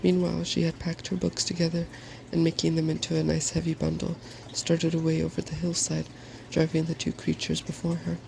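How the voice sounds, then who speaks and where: Man, gentle soft-spoken storyteller, monotone, gravelly fry, measured ASMR reading, faint background noise. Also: a little high, poor audio. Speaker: storybook reader.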